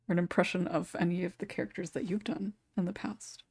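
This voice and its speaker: A woman's voice: fairly low pitch, darkish tone, breathy edge, no room sound. A woman hosting a casual panel chat, giggly and conversational, on a close microphone. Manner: giggly, laughs often while talking. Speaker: podcast host.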